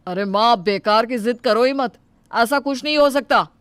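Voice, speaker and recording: A woman voicing a young man, brisk and plain-spoken. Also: a little high, clear, resonant tone, dry recording, soft background music, lightly acted. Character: young married son, curt then caring. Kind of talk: cartoon dubbing.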